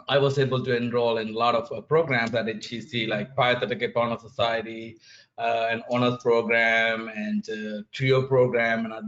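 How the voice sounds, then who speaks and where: A man from Sri Lanka speaks as a student panelist, pausing often with fillers and restarting his sentences. His voice sits at a middling pitch. The sound is dull and lo-fi, like a video call. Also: a bit bright. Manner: halting, many fillers and restarts. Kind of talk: panel discussion answer.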